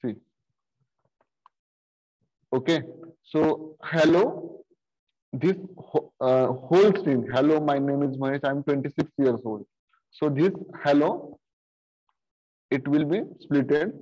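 Slow, halting male teacher. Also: on the high side, warm tone. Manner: slow, halting, many fillers and repeated "okay". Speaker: programming teacher. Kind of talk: screen-share coding lesson. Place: video call with screen share. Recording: dull lo-fi sound.

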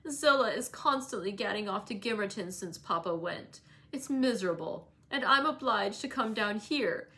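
A woman voicing a sickly, peevish young invalid man who whines fretfully and slowly. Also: mid-range voice, lively intonation, bright, forceful tone. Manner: whining, fretful, slow and plaintive.

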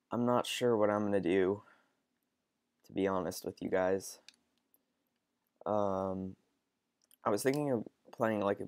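A sniffly young man with a cold, rambling through casual game commentary with frequent ums and restarts. His voice sits a bit low and sounds close and dry. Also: darkish tone, dry recording. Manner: rambling, hesitant, lots of ums. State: stuffy with a cold. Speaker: gaming channel host. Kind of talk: casual let's-play commentary.

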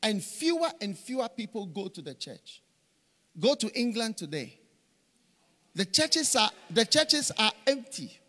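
A middle-aged man preaching at a conference on a stage, telling stories with many repeated phrases, speaking into a handheld mic. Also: sits high. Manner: animated storytelling with repeated phrases. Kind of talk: conference sermon. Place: large church stage with hall reverb. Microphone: handheld microphone. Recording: reverberant hall.

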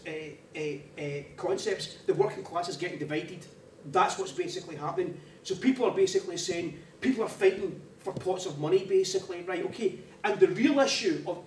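A man's voice: high-pitched, far from the mic. A middle-aged man with a stammer, giving an informal off-the-cuff talk with lots of conversational checking tags. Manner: conversational, frequent "right?" and "okay" tags. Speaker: panel speaker, academic. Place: small seminar room, indoors. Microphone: standing away from the mic, camera pickup. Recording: echoey room, off-mic, faint noise.

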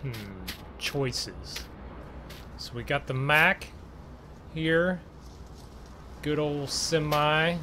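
A man giving casual gameplay commentary in a conversational, unhurried way with trailing pauses. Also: fairly high pitch, bright, forceful tone, a little hiss or hum. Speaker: gaming video host.

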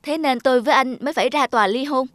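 A woman's voice: high voice, racing, a bit bright, dry recording, clean studio sound. A woman playing an aggrieved, emotional wife and mother in a cartoon drama. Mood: bitter, arguing.

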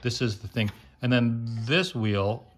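A man's voice: mid-range voice, unhurried. A man giving casual hands-on commentary, thinking aloud and explaining as he works on electronics. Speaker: host taking apart electronics. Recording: noisy hobby recording, clicks and handling.

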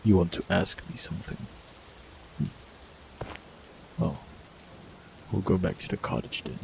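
A man's voice: low-pitched, takes it slowly, vocal fry, exaggerated voice. A man voicing an ancient immortal male forest warlock in an audio roleplay, speaking with dry, teasing humor. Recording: steady rain noise behind voice. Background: rain.

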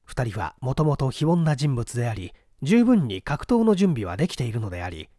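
Animated male audiobook narrator, lively intonation. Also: mid-range voice, a little fast, no echo, clean studio sound. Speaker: audiobook reader. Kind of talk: scripted literary narration of a period detective novel.

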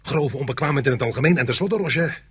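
An actor playing a bitter common soldier in a radio play, hurling emphatic, clipped accusations. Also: male voice, mid-range voice, fast talker, a bit bright, husky, no echo. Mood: bitter, accusing. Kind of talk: Dutch radio play acting.

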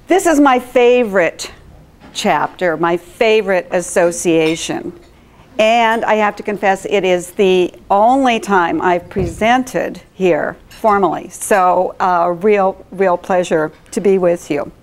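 A middle-aged woman with a big, carrying voice addresses a meeting room without a mic. She speaks slowly and smoothly and keeps drawing the audience in with questions. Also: mid-range voice. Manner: engaging, asks the audience questions and checks in. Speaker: presenter at a professional chapter meeting. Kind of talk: live presentation to an audience, asking questions.

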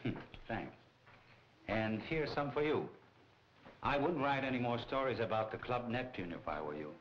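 A middle-aged actor playing a smooth, evasive nightclub boss with deliberate, menacing phrasing in a 1940s crime film. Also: male voice, medium pitch, expressive, slight background noise, lightly acted. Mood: veiled menace. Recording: dull 1940s film soundtrack, loud music behind.

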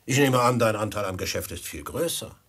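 An actor playing a mockingly polite bandit extortionist in a 1950s radio drama, with husky voice and theatrical emphasis. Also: male voice, lowish voice, animated, brisk, a bit bright. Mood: mocking, demanding. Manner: mock-courteous, oily, theatrical emphasis. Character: smooth-talking bandit extortionist, mockingly polite adult man.

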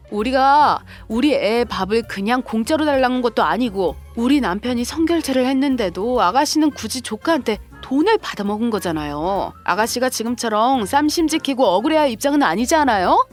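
A woman's voice: fairly high pitch, lively intonation, fairly quick, few pauses, soft background music, lightly acted. A woman playing a composed, sarcastic sister-in-law and protective mother in a scripted audio drama. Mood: accusing.

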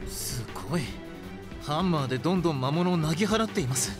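A man's voice: a little high, lively intonation, quick pace, lightly acted. A man playing an earnest, polite young onlooker, amazed as he describes a battle in an anime-style radio drama. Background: loud music.

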